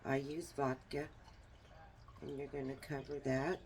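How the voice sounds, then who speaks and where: A woman with a small, soft voice, demonstrating a herbal remedy in a homey, unhurried way while she works. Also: rumbling low, little pitch movement, slight background noise. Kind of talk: casual how-to demonstration.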